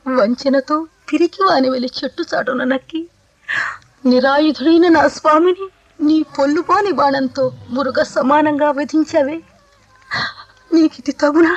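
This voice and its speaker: A woman acting a grief-stricken, reproachful widowed queen in a 1980s radio mythological play, with theatrical, declamatory delivery. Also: high voice. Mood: bitter reproach. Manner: theatrical, drawn-out lamenting delivery. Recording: dull old radio recording with music.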